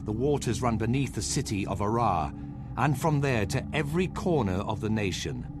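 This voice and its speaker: An actor playing a determined ally who leads a ritual to cleanse a cursed land, speaking earnestly in a game cutscene. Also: male voice, fairly low pitch, moderate pace, background noise, natural voice. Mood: resolute. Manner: earnest, resolute, steady. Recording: game audio over music.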